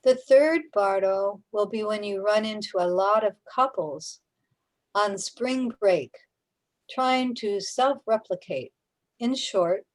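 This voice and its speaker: A woman playing a calm, knowing afterlife guide, measured and explanatory, in a sci-fi radio drama. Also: medium pitch. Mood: matter-of-fact.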